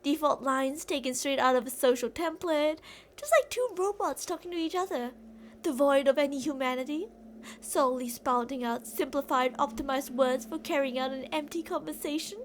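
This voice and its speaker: A woman's voice: sits high, normal speed, a little hiss or hum, natural voice. A woman playing an obsessive, delusional female kidnapper who rambles theatrically and teasingly to a captive in an ASMR roleplay. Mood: bitter, disillusioned.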